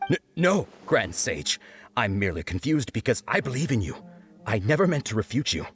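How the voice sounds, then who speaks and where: An actor playing a timid, deferential underling in a video game, hesitant, earnest and prone to stammering. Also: male voice, medium pitch, big pitch swings, brisk, fairly bright tone, soft background music. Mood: flustered.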